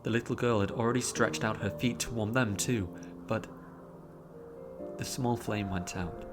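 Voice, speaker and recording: A man narrating a story in a dramatic, expressive audiobook style, drawing out words theatrically. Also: fairly low pitch, moderate pace. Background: music behind the voice.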